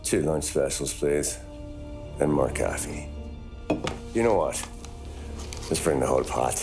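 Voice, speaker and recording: A man's voice: very deep voice, lively intonation, unhurried, music in the background, full character voice. A man acting a hard-nosed, skeptical 1930s police detective in a video game drama. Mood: skeptical, probing.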